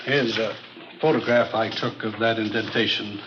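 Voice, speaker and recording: A man's voice: lowish voice, steady tone, background noise, natural voice. Man acting precise forensic witness, muffled old radio. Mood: factual, measured. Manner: measured, factual, unemotional testimony. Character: precise, matter-of-fact male forensic expert witness. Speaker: forensic chemist on the witness stand. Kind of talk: 1950s radio drama acting.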